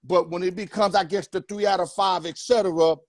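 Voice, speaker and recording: High-voiced slangy sports talk host. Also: male voice, fairly even intonation, a little fast, darkish tone, dry recording, clean studio sound. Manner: loose, joking, streetwise slang. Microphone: headset or earpiece.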